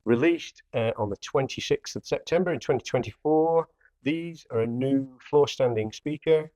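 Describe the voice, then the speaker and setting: A man's voice: mid-range voice, moderate pace, warm tone, no room sound, lo-fi sound. A man giving a casual product demonstration as a hi-fi dealer, plainly explaining each step as he works. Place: indoor hi-fi showroom.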